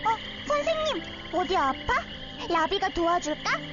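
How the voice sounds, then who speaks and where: A woman's voice: very high voice, very animated, measured pace, highs cut off, full character voice. A woman voicing a naive, curious little girl in a game story, speaking in a childlike, simple way. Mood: innocent curiosity. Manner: childlike, refers to self in third person. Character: Laby, naive cheerful curious forest-raised little girl. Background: loud music.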